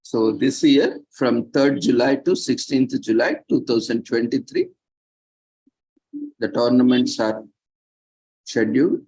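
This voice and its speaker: A man presenting, reading prepared text with off-the-cuff asides, halting and stumbling over words at times. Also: mid-range voice, expressive, a little mellow, breathy edge, rough recording.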